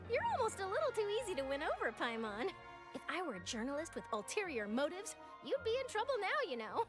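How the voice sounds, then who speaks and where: A woman's voice: very high-pitched, wildly swooping pitch, a little fast, exaggerated voice. A woman playing an eager, chatty young newspaper reporter in an anime-style video game. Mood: teasing, friendly. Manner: exclamatory, chatty, teasing. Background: background music.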